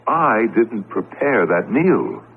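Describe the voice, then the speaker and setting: Earnest, deep-voiced, troubled professor. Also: male voice, sing-song, takes it slowly, exaggerated voice. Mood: uneasy, insistent. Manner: earnest, insistent. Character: earnest, troubled college professor haunted by strange events. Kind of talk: vintage radio drama acting. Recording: noisy, muffled old radio broadcast.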